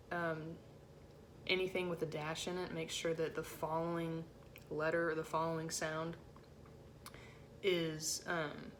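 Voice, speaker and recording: A woman with a low-set voice giving an informal music rehearsal lesson as a choir section leader, in a casual, encouraging way with hesitant phrasing. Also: slight background noise. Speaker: choir section leader teaching alto parts.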